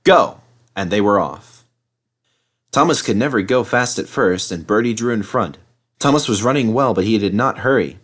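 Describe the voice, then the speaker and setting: A man's voice: fairly low pitch, moderate pace, fairly bright tone. A man reading a children's story as scripted narration. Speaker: storybook reader.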